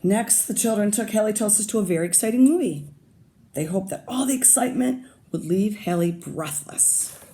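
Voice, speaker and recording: Gravelly-voiced teacher reading aloud. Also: female voice, medium pitch, lively intonation, dark tone, slight background noise. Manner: pauses to comment and ask listeners questions. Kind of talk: reading a picture book aloud to children.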